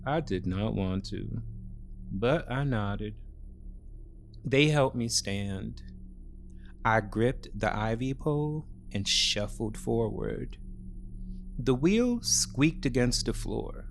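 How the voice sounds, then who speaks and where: Guy telling a dramatic first-person thriller, slow, mid pitched voice, faint noise in the background. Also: animated, vocal fry. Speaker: story narrator.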